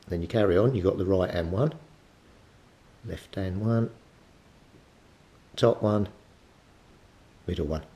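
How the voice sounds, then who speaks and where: A man's voice: low-pitched, warm tone, breathy edge. A man giving an informal hands-on repair tutorial, explaining methodically step by step. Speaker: presenter of a hobby repair tutorial.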